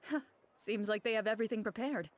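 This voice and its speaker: An actress playing a composed young woman in a video game, speaking calmly and formally. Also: on the high side, a little hiss or hum, natural voice. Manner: calm, measured, formal.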